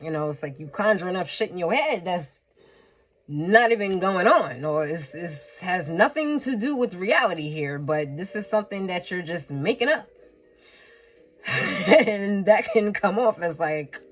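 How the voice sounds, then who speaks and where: A woman's voice: fairly low pitch, expressive, unhurried. A woman giving a casual tarot reading in a frank, blunt way. She swears freely, laughs in asides, repeats phrases for emphasis and sniffles from a slight head cold. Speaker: tarot reader.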